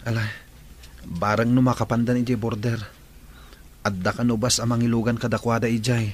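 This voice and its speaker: A man acting a concerned grown son who gently reassures his mother in an Ilocano radio drama. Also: medium pitch, level tone, brisk, slight background noise, lightly acted. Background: music.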